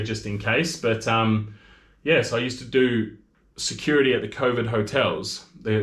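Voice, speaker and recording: A man's voice: mid-range voice, a bit bright. Chatty Australian man in an echoey room. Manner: chatty, rambling, reads out viewer comments. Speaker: maker hosting a livestream. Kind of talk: casual livestream chat. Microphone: camera audio.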